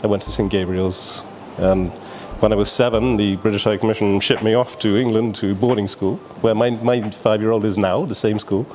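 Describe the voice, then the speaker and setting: A man's voice: fairly low pitch, fairly even intonation, normal speed, hardly any pauses, a little mellow, a little hiss or hum. Rambling older man telling stories. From Barbados. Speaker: guest, businessman. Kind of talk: casual interview storytelling.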